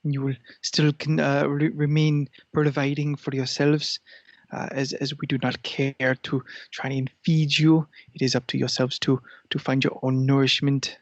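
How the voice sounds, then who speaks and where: A man voicing a haughty talking cat who speaks in a pompous, formal, deliberate way on a comedy podcast. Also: mid-range voice, flat delivery, no room sound, dull audio, close to an everyday voice. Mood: regal, aloof. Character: haughty male talking cat with plans of world rule. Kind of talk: improvised comedy podcast character interview.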